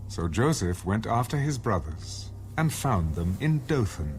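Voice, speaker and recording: A man's voice: on the low side, sing-song, measured pace, noisy background. A man narrating an ancient biblical tale as a solemn storyteller in a dramatized audio Bible. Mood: solemn storytelling.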